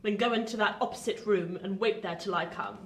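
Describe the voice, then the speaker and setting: A woman's voice: mid-range voice, fairly quick, lightly acted. A woman acting a bitter, commanding older recluse in a radio play, with abrupt, theatrical orders. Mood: coolly probing. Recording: echoey room with noise.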